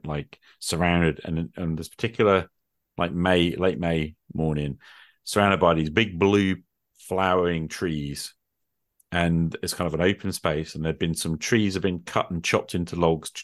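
Low-voiced man, musing in casual conversation. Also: measured pace, no room sound. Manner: musing, hesitant phrasing with rhetorical questions. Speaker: podcast speaker, tabletop gamer.

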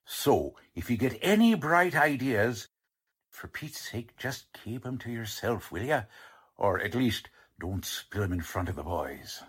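Guy doing a gruff bossy american police lieutenant, old radio crime show, slangy, drawn out and swingy delivery. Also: medium pitch, sing-song, a little slow, a bit bright, exaggerated voice. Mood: wary, bossy. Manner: blunt, slangy, hemming and hawing. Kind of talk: old-time radio crime drama acting.

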